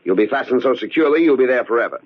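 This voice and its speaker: An actor playing a cold, calculating murderer in a radio thriller, speaking in a taunting, mock-polite way with a husky voice. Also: male voice, mid-range voice, animated, rapid-fire. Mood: menacing, gloating. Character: cold, gloating male murderer, a resentful long-serving employee. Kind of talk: old-time radio thriller acting. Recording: dull, lo-fi radio drama recording.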